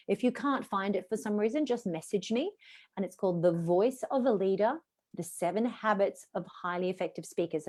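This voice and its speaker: A woman's voice: medium pitch, a little mellow. A middle-aged woman, a voice coach, teaching an online session over a video call in an encouraging coaching tone.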